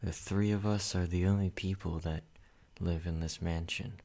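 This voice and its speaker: A man voicing a possessive male vampire who speaks calmly and directly to the listener in an ASMR roleplay. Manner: slow, low and measured, addressing the listener.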